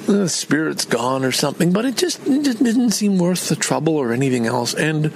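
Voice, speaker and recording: A man's voice: on the high side, big pitch swings, normal speed, vocal fry, slight background noise. An older man from Canada in a rambling personal vlog, meandering and chatty with many restarts. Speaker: vlogger.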